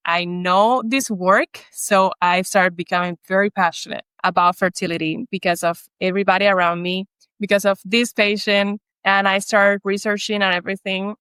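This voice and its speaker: Puerto Rican woman, smooth earnest voice. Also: mid-range voice, fairly bright tone, no room sound. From Puerto Rico. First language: Spanish. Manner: earnest, storytelling. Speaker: guest chiropractor. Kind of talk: podcast interview.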